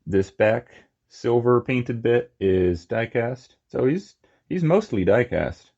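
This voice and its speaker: A man's voice: medium pitch, expressive, slow, gravelly, a little mellow, breathy edge, dull audio. A man giving a casual, unscripted hands-on demonstration as a hobby reviewer, with informal muttered asides. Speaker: toy collector and reviewer.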